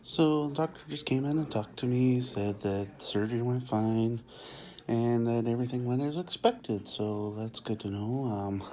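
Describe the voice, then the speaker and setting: A man in a hospital room giving calm, matter-of-fact updates for a vlog while his partner is in surgery. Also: mid-range voice, moderate pace.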